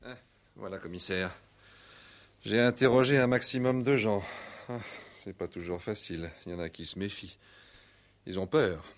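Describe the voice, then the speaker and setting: A diligent deputy inspector played by a man in a 1960s radio drama. He has a low voice and speaks carefully and plainly, deferring to his superior. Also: moderate pace, pauses often, natural voice. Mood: reporting. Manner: matter-of-fact, careful. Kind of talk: 1960s French radio play acting.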